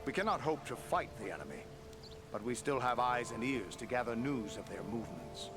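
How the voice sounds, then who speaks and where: An actor playing a dutiful, sober ranger leader in a fantasy game, speaking formally and deliberately. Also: male voice, medium pitch, animated, music in the background, natural voice. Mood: somber, resolute.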